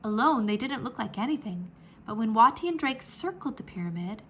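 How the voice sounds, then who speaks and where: Woman reading a kids adventure book out loud, highish voice, deliberate storytelling, little noise behind. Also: expressive. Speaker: read-aloud narrator. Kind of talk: children's storybook read-aloud.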